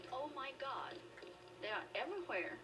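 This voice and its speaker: A woman's voice: sits high, very animated, normal speed, distant mic, over music. An actress voicing a squeamish, fussy, dismayed woman customer in a video game, recorded off the game's speakers. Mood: disgusted. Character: squeamish, disgusted woman tea house customer. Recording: game audio picked up off speakers.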